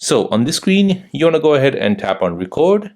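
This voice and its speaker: A man's voice: fairly high pitch, big pitch swings, brisk, darkish tone. A man hosting a how-to tutorial, giving scripted step-by-step instructions. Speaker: tutorial host.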